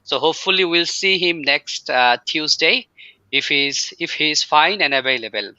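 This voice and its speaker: A male host puts questions to his guest in halting English, with a sharply bright, tense voice. His audio sounds dull and lo-fi. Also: a little high, animated, clear, resonant tone, no room sound. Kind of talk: interviewer asking questions.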